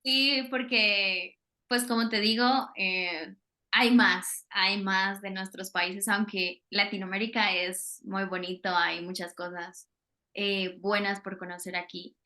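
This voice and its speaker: A woman's voice: medium pitch, fairly even intonation, slow, sharp tone. A Colombian woman, a Spanish teacher, talking casually in an interview over a video call, hesitating with many fillers.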